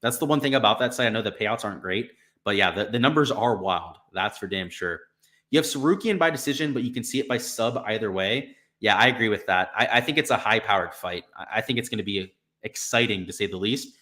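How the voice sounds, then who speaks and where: A young man with a slightly raspy voice from being sick, casually talking through betting picks on a live stream, thinking aloud. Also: on the low side, fairly quick, pushed and bright. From Florida. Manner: casual, thinking aloud with fillers like "right" and "you know". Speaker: sports betting stream host.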